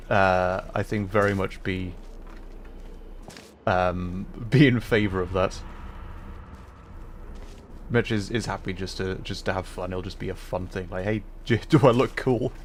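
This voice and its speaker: Low-voiced man thinking aloud while gaming. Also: measured pace, long pauses. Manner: hesitant, piecemeal thinking aloud. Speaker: livestreamer. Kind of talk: casual game commentary, explaining puzzles aloud. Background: faint noise and game ambience.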